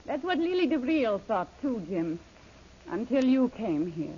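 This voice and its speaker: A scheming radio-serial villainess, swooping and husky. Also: female voice, a little high, sing-song, measured pace, full character voice. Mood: persuasive, defensive. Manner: coquettish, theatrical, imperious. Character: audacious scheming villainess, coolly seductive adventuress. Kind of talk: old-time radio serial acting. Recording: dull, lo-fi old radio recording.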